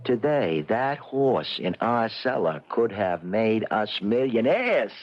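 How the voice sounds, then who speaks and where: Excitable radio-play gambler, muffled recording. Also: male voice, fairly low pitch, slow pace. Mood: indignant, insistent. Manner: agitated, emphatic, swooping delivery. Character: excitable, nervous, superstitious working man gambling on horses. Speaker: narrator and lead actor in radio play. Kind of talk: old-time radio comedy drama acting. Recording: noisy, muffled old radio broadcast recording.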